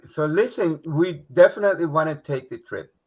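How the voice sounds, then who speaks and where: Male host, loose and chatty, slightly husky, dull stream sound. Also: on the high side, moderate pace. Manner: relaxed, rambling with fillers, laughs easily. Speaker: talk show host. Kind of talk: casual live-stream chat.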